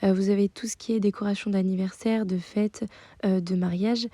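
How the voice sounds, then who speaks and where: A woman's voice: mid-range voice, fairly even intonation, moderate pace, warm tone, dry recording. A young woman giving a casual voice-over of a website, hesitant, with many fillers. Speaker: vlogger doing screen-tour voice-over.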